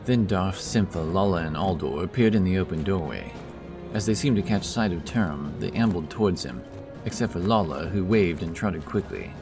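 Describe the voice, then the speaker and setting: A man narrating a fantasy audiobook in a steady, measured way. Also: sits low, over music.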